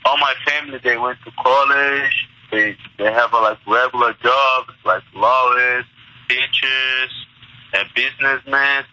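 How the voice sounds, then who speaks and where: A young Brazilian man, a native Portuguese speaker, answers interview questions in accented English, halting and restarting as he goes. His voice comes through very bright and sharp over a phone-like line, with a completely dry sound and no room around it. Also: medium pitch, fairly even intonation, dry recording. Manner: halting, restarts in second language. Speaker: interview guest, MMA fighter.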